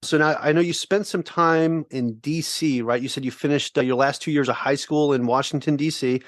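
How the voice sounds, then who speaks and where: A man's voice: a little high, steady tone, normal speed, no room sound. Enthusiastic male podcast host, dry sound. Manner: enthusiastic, friendly. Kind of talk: upbeat podcast interviewing.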